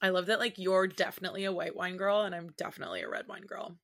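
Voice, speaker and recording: A woman's voice: lowish voice, fast talker. Woman in her 30s cohosting a chill podcast at home, chatty, laughs a lot. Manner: laughs often, chatty and expressive. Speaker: podcast co-host. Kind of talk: casual chat.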